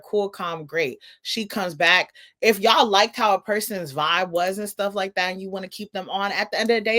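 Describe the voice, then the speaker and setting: A woman's voice: mid-range voice, fairly bright tone. A woman co-hosting a commentary show, giving casual opinions with emphasis and slang.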